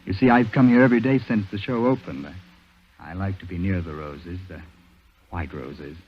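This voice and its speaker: An actor playing an odd, lonely man who talks haltingly, in 1940s radio drama style. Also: deep voice, breathy edge. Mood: shy, wistful. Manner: halting, soft-spoken, repeats himself. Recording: muffled vintage radio recording with music.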